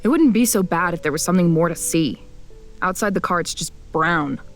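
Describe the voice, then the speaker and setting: A woman's voice: on the low side, lively intonation, a little fast. A woman playing a wary, grumpy young traveler who narrates an audio drama in a blunt, wry way. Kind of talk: first-person audio drama narration and dialogue. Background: music.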